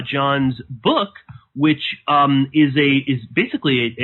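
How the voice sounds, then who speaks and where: A man hosting a podcast interview, talking in a halting way with many fillers and restarts while chewing nicotine gum. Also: medium pitch. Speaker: podcast host. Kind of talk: casual interview intro. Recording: tinny phone-like audio.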